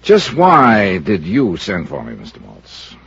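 An actor playing a dry, probing investigator in a 1950s American radio drama, with wry, clipped hard-boiled delivery. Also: male voice, low voice, wildly swooping pitch, slow, fairly bright tone, slightly rough, no room sound, exaggerated voice. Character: dry, probing male freelance insurance investigator. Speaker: lead actor and narrator of a radio detective serial. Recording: muffled vintage radio recording, music behind.

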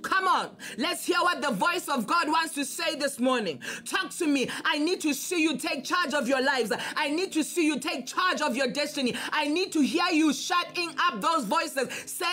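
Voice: high-pitched, normal speed, hardly any pauses, sharp tone, faint music behind. A preacher delivering a fervent prophetic sermon and declarative prayer in an emphatic, repetitive, exhorting manner.

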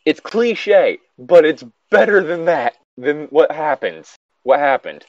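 A man's voice: on the high side, big pitch swings, slow pace, darkish tone, no echo. Exasperated man on a dull, dry mic. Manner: sweary, exasperated. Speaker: co-host reading and mocking an online horror story. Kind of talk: casual read-aloud with exasperated commentary.

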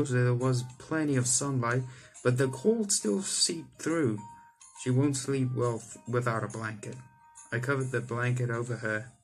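A man's voice: mid-range voice, low-quality recording. A young man hosting a gaming video, reading a visual novel aloud with casual commentary and stumbling over the odd line. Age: young adult. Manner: stumbles over text and rereads lines. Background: game music.

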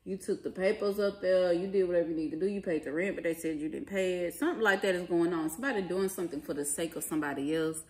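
A woman's voice: lowish voice, fast, hardly any pauses, a little mellow. A woman giving an informal tarot reading in blunt, profane, emphatic and slangy conversational speech. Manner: colloquial, slangy phrasing. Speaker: tarot reader. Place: small indoor living room at a table. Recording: clearly noisy home recording, card clicks.

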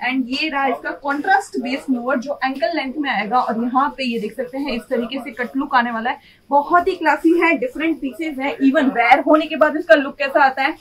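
Young female shop presenter, high-pitched, brisk sales patter, recorded in a showroom. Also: rough recording. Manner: upbeat salesy, repeats "you will get". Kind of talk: product showcase sales pitch. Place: indoor clothing showroom.

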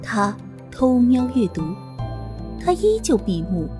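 A woman's voice: on the high side, big pitch swings, slow pace. A woman narrating a novel as an audiobook reader, in a scripted storytelling style. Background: faint music.

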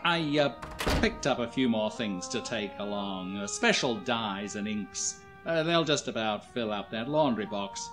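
An actor playing a crusty, irritable older man, gruff, curt and nervously stammering, in a 1940s radio play. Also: lowish voice, animated, a little slow. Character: crusty, jittery, irritable older American father. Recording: vintage 1940s radio, music behind.

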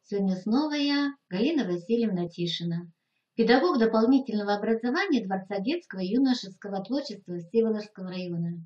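A woman, a teacher giving step-by-step instructions in deliberate, short, simple phrases. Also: medium pitch, darkish tone, highs cut off. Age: 60s. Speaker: children's art and animation teacher. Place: indoor room, plain wall.

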